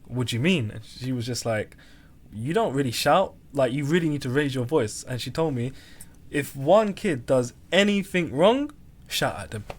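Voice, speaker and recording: A man co-hosting a casual chat, speaking in a calm, easygoing way with frequent ums. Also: medium pitch, normal speed. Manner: calm, relaxed, hesitant with fillers. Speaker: podcast co-host.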